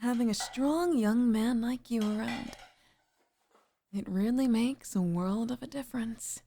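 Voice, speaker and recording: A woman plays a sweet middle-aged single mom in an intimate ASMR roleplay. Her voice is mellow and unhurried, with soft pauses and sighs. She sounds affectionate, gently flirtatious and a touch lonely. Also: fairly high pitch, creaky, a little hiss or hum. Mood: admiring, playful.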